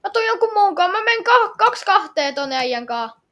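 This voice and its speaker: A woman chatting casually while playing an online game with others, excitable and often repeating short phrases and exclamations. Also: very high-pitched.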